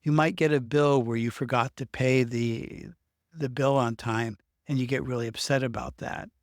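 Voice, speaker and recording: A man's voice: mid-range voice, steady tone, normal speed, no echo, studio quality. A man talking through ideas on worry in a loose, rambling way, often restarting words mid-sentence. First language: English. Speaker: self-help video host. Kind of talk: unscripted explanatory talk. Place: Norway.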